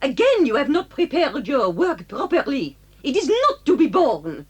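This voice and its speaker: High-pitched, excitable French schoolmistress. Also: female voice. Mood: exasperated. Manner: commanding, theatrical, halting in English. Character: strict, excitable, easily exasperated French schoolmistress. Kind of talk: 1980s children's audio dramatisation acting.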